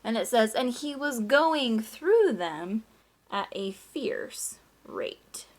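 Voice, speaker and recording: A woman teacher doing an animated read-aloud of a children's story, with chatty asides and questions to young listeners. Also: on the high side, slow. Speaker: teacher reading aloud to class.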